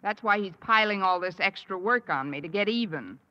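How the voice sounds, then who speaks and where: An actress playing a wisecracking high school teacher in a 1950s radio sitcom, with dry, sardonic delivery. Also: female voice, lively intonation, fairly quick, sharp tone. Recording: old radio broadcast, dull and noisy.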